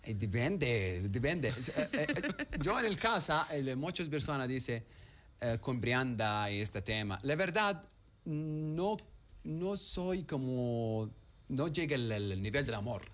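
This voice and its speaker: Male guest, Arabic accent, non-native speaker, hesitant delivery with many filler pauses, mid-range voice. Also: medium pitch, big pitch swings, fairly bright tone. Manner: halting non-native phrasing, frequent "eh" pauses. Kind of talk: casual interview chat.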